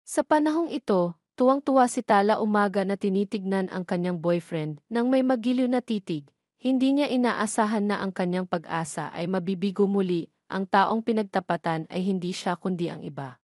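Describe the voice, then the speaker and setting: A woman's voice: medium pitch, normal speed, a little mellow, no echo, clean studio sound. A woman reading scripted narration of a romantic story, as a storyteller.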